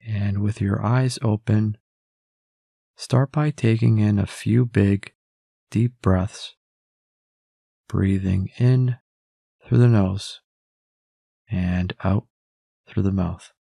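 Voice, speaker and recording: Slow, low-voiced male meditation guide. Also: gravelly, husky, no echo, studio quality. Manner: calm, measured, instructional.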